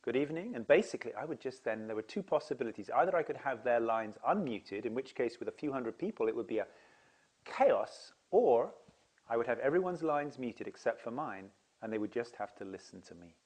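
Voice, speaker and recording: A man's voice: medium pitch, lively intonation, fairly quick, a little mellow. A man who leads seminars, explaining to camera in an earnest, enthusiastic way. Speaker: seminar leader.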